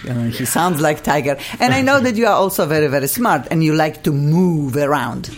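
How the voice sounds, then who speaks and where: A woman's voice: low voice, expressive, brisk. A woman with a Polish accent hosting an interview in a studio, gushing with praise for her guests. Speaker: radio show host. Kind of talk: interview chat.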